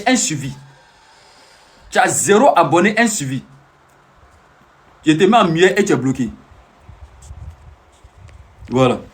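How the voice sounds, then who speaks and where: A man giving an emphatic, repetitive, preaching monologue on a live stream, answering viewers' comments as he talks. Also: high-pitched. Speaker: self-proclaimed peace ambassador streaming live.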